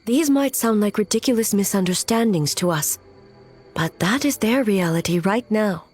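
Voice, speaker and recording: A mid-pitched, authoritative lady of high rank voiced by an actress, measured and solemn. She speaks formally and with total composure, as in an animated game cutscene, over faint music. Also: natural voice.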